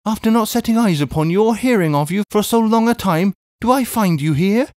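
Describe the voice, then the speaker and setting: A man's voice: high voice, animated, brisk, a little mellow, no echo, studio quality, voice pushed up. A man voicing a loving, wounded middle-aged English sister in a storybook, speaking in a plaintive, lamenting way with an English accent. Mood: anguished, tearful. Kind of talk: audiobook character voice.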